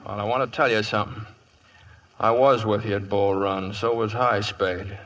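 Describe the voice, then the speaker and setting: An actor playing a tough, easygoing cowboy in a vintage radio western, speaking tersely with small hesitations. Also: male voice, lowish voice, fairly even intonation, moderate pace, a bit bright, close to an everyday voice. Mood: assured. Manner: hesitant, terse, plain-spoken. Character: laconic, tough, easygoing cowboy, former Confederate soldier. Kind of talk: 1950s radio western acting.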